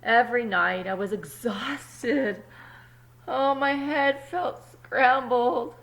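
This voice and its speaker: Woman reading as an earnest little storybook egg, bright-voiced. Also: on the high side, creaky, voice pushed up, full character voice, poor audio. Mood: strained. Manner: emphatic, drawn-out storybook delivery. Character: earnest, dutiful little egg, eager to be good. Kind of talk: first-person children's storybook narration.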